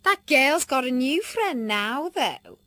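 A woman's voice: sits high, big pitch swings, slow pace, bright, forceful tone, no echo, pitched above the performer's own voice, full character voice. A woman voicing a spiteful schoolgirl bully who mocks in a silky, jeering way. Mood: sneering.

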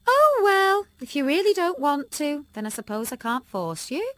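A woman's voice: high voice, sing-song, normal speed, a bit bright, dry recording, pitched above the performer's own voice. A woman voicing a fretful, controlling middle-aged mother with a wheedling manner.

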